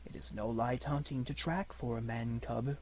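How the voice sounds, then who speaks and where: A man's voice: mid-range voice, steady tone, normal speed, lightly acted. Man voicing a languid, sardonic jungle panther. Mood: lazy grumbling.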